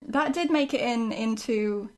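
A woman's voice: a little high, a little slow. A woman chatting casually and reflectively as a writer looking back on a show, in an agreeable, conversational way. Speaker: writer discussing their own show.

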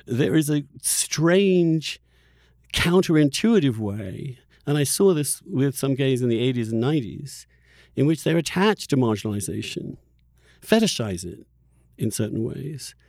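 A man's voice: mid-range voice, expressive, a little slow, vocal fry, darkish tone, no room sound, studio quality. A middle-aged man hosting an opinionated interview conversation, arguing his points emphatically with the odd chuckle. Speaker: podcast host.

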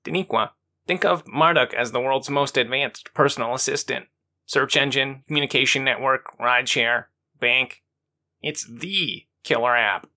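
A man's voice: medium pitch, normal speed, sharp tone, voice pushed up. A man voicing an enthusiastic male explainer.

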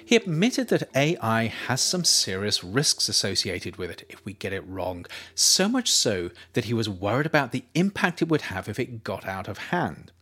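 A man reading his own nonfiction writing aloud as a narrator, measured and explanatory, with emphatic phrasing. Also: lowish voice, big pitch swings, bright, forceful tone, slightly rough, faint music behind. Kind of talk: scripted audiobook-style narration.

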